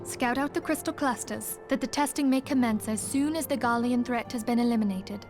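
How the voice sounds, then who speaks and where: A gracious female leader played by a woman speaks in a slightly high voice with composed, gentle authority. Her phrasing is formal, in the manner of a fantasy video game. Soft music sits behind her. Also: brisk, lightly acted. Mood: composed command.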